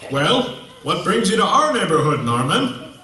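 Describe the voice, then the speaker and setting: A man's voice: high-pitched, sing-song, measured pace, sharp tone, exaggerated voice. A man playing a cheerful, folksy, jovial husband puppet in a comedy skit, with exaggerated reactions. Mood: welcoming, chatty. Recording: echoey room, distant mic.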